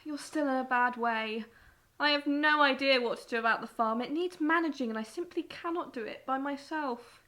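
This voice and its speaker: Woman acting a worried farm mum in a ww2 radio play, proper, highish voice. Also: moderate pace, pushed and bright. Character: anxious, burdened English farm mother in wartime. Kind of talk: audio drama acting.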